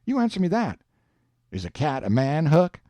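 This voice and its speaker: A man's voice: on the high side, huge pitch swings, normal speed, warm tone, no room sound, pitched above the performer's own voice. A man voicing an earnest, stubborn runaway slave in an audiobook, with a Southern American accent and a folksy, emphatic way of arguing. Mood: triumphant, arguing. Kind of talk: audiobook character dialogue.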